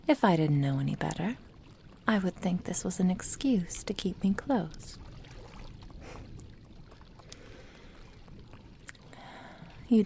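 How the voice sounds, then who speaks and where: A woman playing a doting, possessive immortal witch in an ASMR roleplay, murmuring tenderly and intimately in a breathy whisper to her apprentice. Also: fairly low pitch, mellow, a little hiss or hum. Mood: teasing, affectionate. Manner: slow, tender, intimate delivery.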